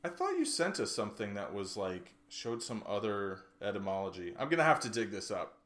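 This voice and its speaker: A man's voice: fairly low pitch, huge pitch swings, brisk, fairly bright tone. A man, talking casually as a co-host on a TV discussion podcast.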